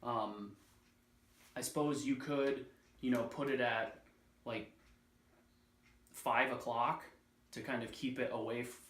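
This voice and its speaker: A man's voice: medium pitch, steady tone, slow pace, long pauses, a bit bright. Casual man in an echoey room. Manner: casual, hesitant, thinking aloud. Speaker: product reviewer. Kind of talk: casual product demonstration.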